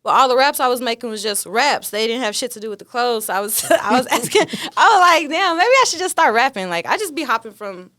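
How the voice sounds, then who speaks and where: A woman's voice: a little high, animated, quick pace, few pauses, bright, forceful tone. A young woman from Dallas talks in a loose, unfiltered way, full of laughs and casual swearing. Her colors everything she says. The sound is close and dry, with no room echo. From Dallas, Texas. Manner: candid, laughing, loose and profane. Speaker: guest rapper. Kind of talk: casual interview chat. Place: podcast studio.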